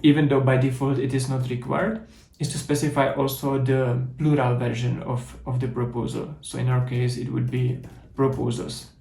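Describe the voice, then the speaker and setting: A man with a Czech accent talks through a coding demo at a conference, methodical and explanatory, circling back to restate points. His mid-range voice has a gravelly, creaky texture. The sound is roomy, with noticeable echo around him. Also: mid-range voice, flat delivery, normal speed, hardly any pauses, warm tone. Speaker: conference speaker. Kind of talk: technical coding talk with live demo.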